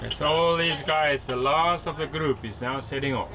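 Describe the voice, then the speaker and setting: A man's voice: a little high, moderate pace, crowd noise. A man hosting a sailing vlog, casually commenting live on a regatta from the deck of a boat. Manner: excited, commenting on a race as it happens. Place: outdoors on a sailing catamaran at sea. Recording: windy outdoor noise. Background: wind and water noise.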